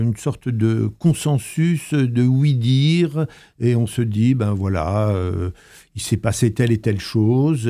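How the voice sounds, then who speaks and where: A man's voice: medium pitch, creaky, warm tone. A young man from Alsace, a history student, answering interview questions on the radio in a hesitant way, full of fillers and restarts. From Alsace, France. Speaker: guest, history master's student. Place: radio studio.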